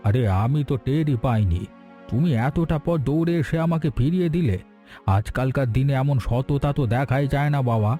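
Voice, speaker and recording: A man acts out a rich, generous gentleman in a cartoon folk tale. He is warm and benevolent, with a mellow voice over faint music. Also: medium pitch, normal speed, lightly acted. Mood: impressed. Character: kindly, generous wealthy gentleman. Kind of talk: Bengali cartoon fairy-tale voice acting.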